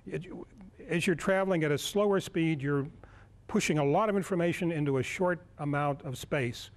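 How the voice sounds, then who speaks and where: A man in his sixties giving an informal live demonstration as a lecturer, halting as he searches for words. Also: a little high. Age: 60s. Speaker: lecturer at a club workshop. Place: indoor meeting room, speaking to a group.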